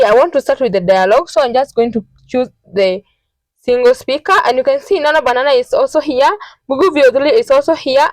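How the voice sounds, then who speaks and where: A woman with a fairly high voice walks listeners through a software tutorial one step at a time. She is instructional and often checks that they are following. The recording is dry, with very little room sound. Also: no room sound, rough recording. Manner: instructional, repeatedly checking in with "okay". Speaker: tutorial host.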